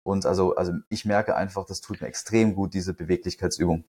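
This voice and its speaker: A man with a somewhat low, husky voice talks in an earnest, explanatory way. He sounds like a health coach sharing what works for him, enthusiastic about the topic in a relaxed podcast chat. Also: fairly quick. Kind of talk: podcast conversation.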